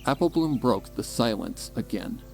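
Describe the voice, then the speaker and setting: A man's voice: mid-range voice, animated, moderate pace, gravelly. A man reading scripted, dramatic story narration as the narrator. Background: music bed.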